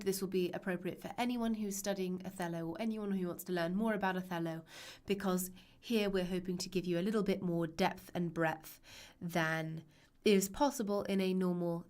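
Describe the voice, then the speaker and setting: A young woman teaching a live online lesson, her voice raspy and hoarse from a cold, chatty and reassuring with frequent ums. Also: fairly low pitch, darkish tone. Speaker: literature teacher.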